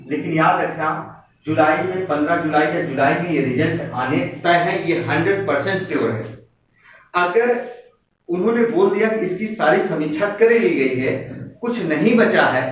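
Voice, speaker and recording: A man speaking as a coaching teacher, giving students an exam update in an emphatic, exhorting way with repeated phrases. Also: on the high side. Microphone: distant microphone. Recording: very echoey room, distant muffled mic, faint noise.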